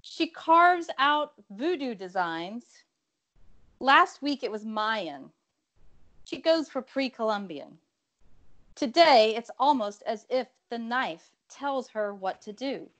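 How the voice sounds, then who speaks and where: A woman's voice: on the high side, animated, takes it slowly, fairly bright tone. A middle-aged woman poet introducing and reading her own work over a video call. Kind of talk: casual introduction and theatrical poetry reading. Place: indoor room with bookshelves.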